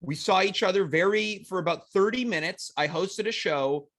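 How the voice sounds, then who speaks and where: A man's voice: sits high, moderate pace, a bit bright. A man hosting a casual comedy talk show, joking and rambling playfully while congested with a cold. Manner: playful, rambling, self-mocking jokes.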